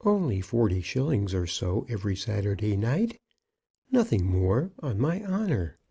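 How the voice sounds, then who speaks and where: A man's voice: fairly low pitch, very animated, normal speed, creaky, warm tone, breathy edge, heavily acted. A man voicing an old, evasive shopkeeper in a piteous, pleading manner for an audiobook. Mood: pleading, defensive. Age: older. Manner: piteous, wheedling. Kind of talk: audiobook character acting.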